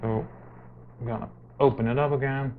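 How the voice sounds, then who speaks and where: A man presenting an informal hands-on tech tutorial, speaking haltingly with very frequent hesitations. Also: medium pitch, fairly even intonation, slight background noise. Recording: muffled lo-fi mic, music behind.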